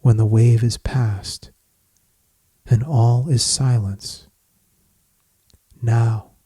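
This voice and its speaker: Male meditation guide: very slow, even delivery, soothing and solemn, with long pauses. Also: mid-range voice, breathy edge, dry recording. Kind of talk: guided meditation, scripted poetic narration.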